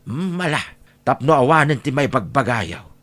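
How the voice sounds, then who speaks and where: A man's voice: medium pitch, lively intonation, normal speed, a bit bright, close to an everyday voice. A man playing an exasperated grown son in an audio drama, scolding and nagging his overly generous father. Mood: scolding, indignant.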